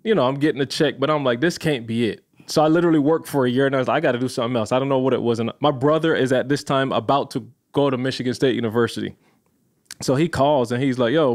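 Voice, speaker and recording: A man from Barbados, a podcast guest in his thirties, telling the story of his career in a lively, casual way. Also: mid-range voice. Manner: animated, addresses listeners as y'all, laughs often.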